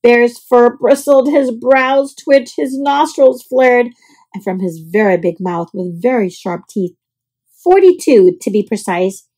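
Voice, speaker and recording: Lady doing a kids read-aloud, high voice, really animated, bit husky. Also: slow pace, poor audio. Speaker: storybook reader. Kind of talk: expressive children's read-aloud narration.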